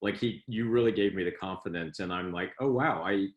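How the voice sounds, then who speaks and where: A man chatting in a relaxed, self-deprecating way as a guest in an interview. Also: sits low, brisk, echoey room, dull audio. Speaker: guest athlete. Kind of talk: casual interview chat.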